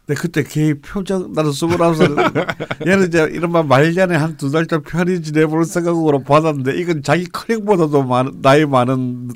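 A man's voice: fairly high pitch, fairly quick, hardly any pauses, no echo. A man explaining a fortune reading in a conversational radio talk, with an explanatory, emphatic manner. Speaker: fortune-telling (myeongri) commentator.

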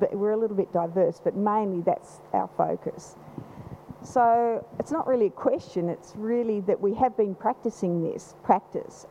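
A woman with a loud voice, speaking from the audience at a panel event, rambling with many restarts. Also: on the low side, vocal fry, slight background noise. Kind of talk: unscripted comment from the floor. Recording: slightly dull live event recording.

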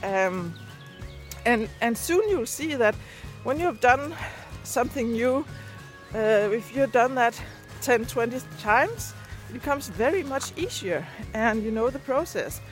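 Higher-voiced Danish woman chatting casually. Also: animated, measured pace, soft background music. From Denmark. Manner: hesitant, searching for words. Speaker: video and podcast creator. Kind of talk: casual vlog chat. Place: outdoors, on a walk. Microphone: handheld device at arm's length.

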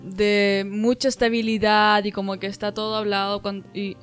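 Woman, podcast co-host, casual and halting, soft music under her. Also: medium pitch, little pitch movement. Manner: hesitant, many restarts. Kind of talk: casual chat.

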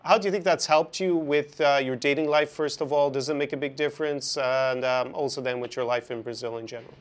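A man's voice: mid-range voice, steady tone, brisk. A man hosting a podcast, talking and asking questions in a conversational interview style.

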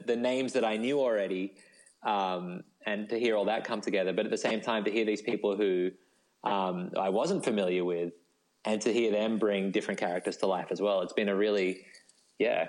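A man's voice: on the low side, darkish tone, low-quality recording. A man, talking casually as a guest in an interview. He thinks aloud as he goes, hesitating and leaning on little filler phrases. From Australia. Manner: hesitant, thinking aloud with frequent "like" and "you know". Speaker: guest, novelist.

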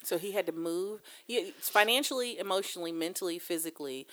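A woman's voice: lowish voice, a little fast, fairly bright tone, low-quality recording. A woman hosting a casual conversation, speaking emphatically and building points through repeated phrases. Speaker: interview host.

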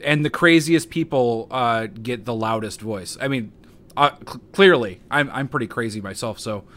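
Calm man with a hesitant, filler-heavy delivery. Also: mid-range voice, normal speed. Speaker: guest, online personality. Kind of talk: casual conversation.

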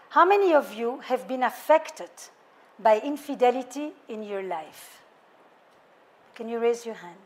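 A middle-aged woman with a French accent, a couples therapist speaking to a live audience in a thoughtful way, piling up lists of words. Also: a little high. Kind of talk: public talk to a live audience.

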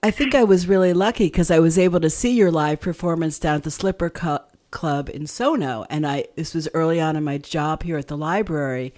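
A woman's voice: low voice, a little fast, few pauses, a little mellow. A woman co-hosting a casual radio interview, responding to her guests and steering the conversation. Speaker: radio show co-host, library staff.

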